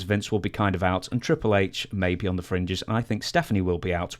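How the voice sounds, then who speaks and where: A man hosting a podcast, talking casually and reading out news in a wry, wordy way with asides. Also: sits low. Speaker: podcast host.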